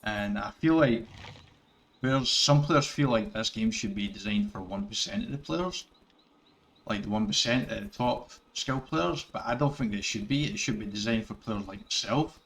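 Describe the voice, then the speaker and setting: A man's voice: lowish voice, expressive, moderate pace, fairly bright tone. A middle-aged Scottish man giving rambling casual commentary over gameplay, correcting himself and chuckling as he goes. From Scotland. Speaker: gaming YouTuber.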